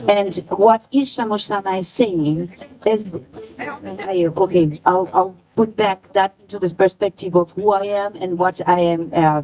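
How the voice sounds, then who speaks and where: A woman speaking on a panel about the fashion brand she founded, halting and emotional, often searching for her words. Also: mid-range voice, darkish tone, poor audio. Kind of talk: panel talk.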